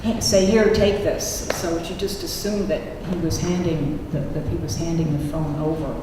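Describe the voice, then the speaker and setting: A woman's voice: low-pitched, fairly even intonation, moderate pace. A woman poet speaking at a public reading of her work, recorded in a reverberant room. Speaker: poet reading from own book and taking questions. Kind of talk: poetry reading and audience question-and-answer. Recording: echoey hall, distant mic, faint noise.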